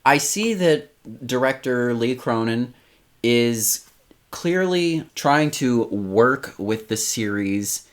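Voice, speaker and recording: A young man co-hosting a podcast in casual chat, rambling and animated, laughing often. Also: medium pitch, slow pace. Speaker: podcast co-host.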